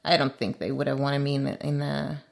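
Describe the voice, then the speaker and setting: A woman with a Swedish accent, an actress chatting on a commentary track, quick to agree and often laughing. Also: rumbling low, flat delivery, vocal fry. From Sweden. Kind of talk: casual commentary chat.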